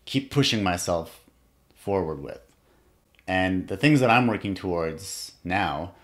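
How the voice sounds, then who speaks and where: A young man in his twenties hosting a solo podcast, talking in a reflective, earnest way with pauses as he thinks aloud, in a small room at home. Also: deep voice. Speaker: podcast host. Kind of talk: solo motivational podcast monologue.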